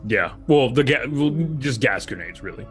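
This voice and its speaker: A man's voice: medium pitch, animated, normal speed, fairly bright tone, soft background music. A man playing a tabletop roleplaying game, casually describing what his character does.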